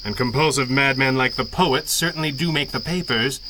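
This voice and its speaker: Man playing calm, commanding masked hero. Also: medium pitch, background noise, lightly acted. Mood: wry, assured. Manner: measured, dryly witty, authoritative. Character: masked male superhero leader, calm, wry, commanding mastermind. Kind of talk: 1930s-style radio adventure acting.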